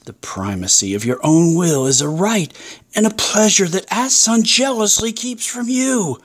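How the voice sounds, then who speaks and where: A performer plays a seductive snake from an audio drama, grand and taunting. The creature draws out its promises slowly and persuasively, tempting with visions of godlike reason. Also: medium pitch, animated, pitched above the performer's own voice.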